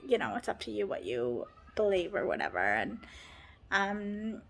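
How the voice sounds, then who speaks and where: Chatty Canadian woman with vocal fry. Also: medium pitch, very animated, moderate pace, fairly bright tone, faint music behind. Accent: Canadian with a New Zealand tinge. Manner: rambling, heavy use of "like", earnest. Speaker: vlogger. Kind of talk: casual personal vlog chat.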